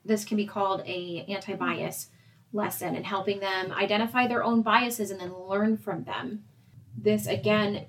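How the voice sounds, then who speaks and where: A young woman co-presenting, talking parents through advice in a steady, explanatory way and addressing them directly. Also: medium pitch, slight background noise, rough recording. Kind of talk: semi-scripted advice talk.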